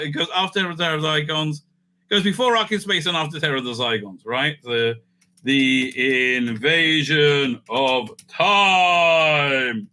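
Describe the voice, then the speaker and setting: Male host, very bright and forceful, slightly high voice; animated casual commentary, thinking out loud. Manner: animated, thinks aloud with frequent asides. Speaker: host ranking TV serials.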